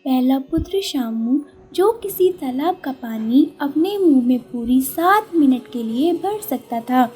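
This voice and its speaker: Woman storyteller, high pitch, unhurried measured pace, scripted moral tale narration, mellow.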